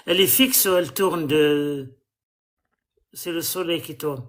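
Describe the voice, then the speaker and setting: A man's voice: on the high side, unhurried, a bit bright. A man from Algeria hosting a live stream, quizzing callers with pointed, mocking questions.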